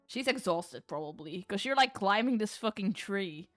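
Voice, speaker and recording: A woman's voice: mid-range voice, lively intonation, moderate pace, a bit bright, no room sound, studio quality. A woman streamer reading a visual novel aloud like a storybook, dropping in casual, playful, sweary asides. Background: music.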